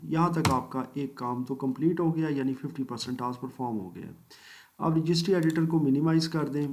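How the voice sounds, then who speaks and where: Man, mid-pitched, patient step-by-step software tutorial narration. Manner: methodical step-by-step explaining, polite "ji" and "janab" asides; mixes English phrases into speech. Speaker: computer course instructor. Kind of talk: screen-recorded software tutorial.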